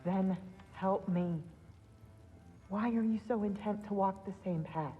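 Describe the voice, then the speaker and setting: A woman playing a stern, worried Norse goddess mother and wife in a dramatic game cutscene, firm and measured. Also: mid-range voice, husky, long reverb tail, phone-quality sound, faint music behind.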